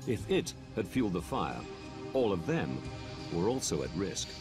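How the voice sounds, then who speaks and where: A man reading scripted documentary narration in a measured, grave manner. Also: deep voice, very animated. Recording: music bed behind narration.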